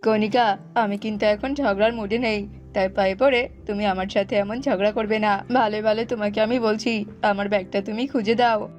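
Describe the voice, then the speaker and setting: A woman's voice: medium pitch, fairly even intonation, normal speed, faint music behind, lightly acted. A woman voicing a selfish male crow husband in a cartoon, scolding, impatient and dismissive. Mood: irritated.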